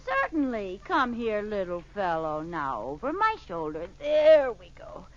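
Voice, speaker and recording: An actress playing a scatterbrained, fretful housewife in an old radio sitcom. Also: female voice, medium pitch, huge pitch swings, measured pace. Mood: fond, coaxing. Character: scatterbrained, fretful housewife minding a neighbor's baby. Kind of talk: 1940s-50s radio sitcom acting. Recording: noisy, muffled old radio recording.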